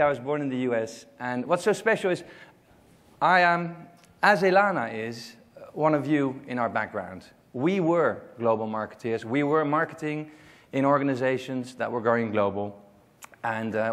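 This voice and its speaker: A middle-aged man with a Dutch accent giving a joking, self-deprecating conference talk, with frequent ums and uhs. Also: mid-range voice. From Netherlands. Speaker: conference speaker. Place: conference hall stage.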